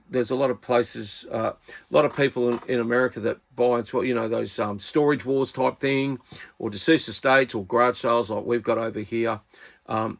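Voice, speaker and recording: A man's voice: medium pitch, creaky. A middle-aged man with an Australian accent, talking casually and bluntly at his workbench about radios he bought. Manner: blunt, exasperated, plain-spoken. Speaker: radio hobbyist channel host.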